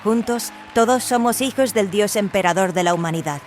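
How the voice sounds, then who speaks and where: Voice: mid-range voice, moderate pace, a faint crowd, pitched above the performer's own voice, close to an everyday voice. Someone doing a solemn clone leader, grand speechy delivery, audio drama, music behind. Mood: solemn, assured. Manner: grandiose, declamatory. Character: clone councillor, solemn ceremonious leader welcoming visitors.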